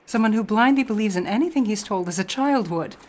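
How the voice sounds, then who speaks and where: A woman's voice: mid-range voice, animated, brisk, lightly acted. Woman playing a haughty, skeptical intellectual. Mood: scornful. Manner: haughty, crisp, argumentative. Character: scornful, rational skeptic, pompous intellectual. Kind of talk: radio drama acting.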